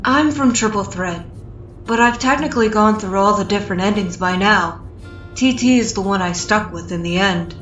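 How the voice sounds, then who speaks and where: A woman's voice: mid-range voice, normal speed, a bit bright, echoey room, far from the mic, lightly acted, poor audio. A woman voicing a weary but polite young male adventurer in a comic fan dub. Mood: hesitant, mild. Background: music.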